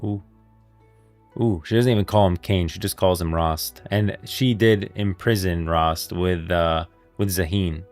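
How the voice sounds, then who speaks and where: A man's voice: low voice, fairly even intonation, unhurried, a little mellow. A man livestreaming casual, emphatic reaction commentary on game lore, often repeating himself. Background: faint music.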